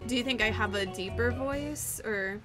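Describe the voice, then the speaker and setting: Sarcastic young woman streamer, loud music. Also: on the high side, normal speed. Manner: sarcastic, teasing viewers. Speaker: game streamer. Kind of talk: live-stream commentary. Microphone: headset. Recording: loud game music behind.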